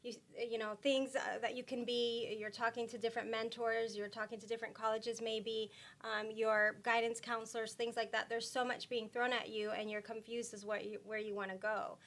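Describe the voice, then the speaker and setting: A woman from Puerto Rico talking as a guest in a casual interview, soft-spoken with many fillers and restarts. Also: on the high side, flat delivery, moderate pace, few pauses.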